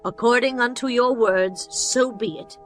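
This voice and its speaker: A woman's voice: fairly high pitch, a little slow, fairly bright tone, soft background music. Rahab, a woman voicing an earnest pleading woman. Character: Rahab, a bold clever innkeeper woman. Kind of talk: dramatized scripture reading.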